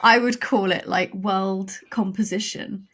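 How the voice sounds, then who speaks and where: A woman, a guest on a podcast, talking in an expressive, enthusiastic way. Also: mid-range voice, unhurried. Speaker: audio drama writer, guest. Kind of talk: conversational podcast interview.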